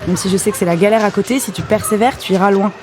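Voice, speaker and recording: A woman's voice: medium pitch, rapid-fire, noisy background, lightly acted. A woman playing a determined, direct athletics coach in a French audio drama. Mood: brisk, encouraging.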